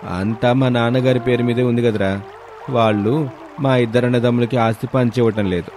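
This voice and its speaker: A man's voice: mid-range voice, fairly even intonation, brisk, music in the background, lightly acted. A man playing a brooding, evasive younger son of a rich villager in a storybook tale.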